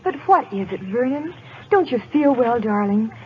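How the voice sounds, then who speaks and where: A woman's voice: fairly high pitch, animated, normal speed, over music, close to an everyday voice. An actress playing a devoted, insistent young college woman in a 1940s radio drama, earnest and affectionate. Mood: concerned. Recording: dull, noisy vintage radio recording.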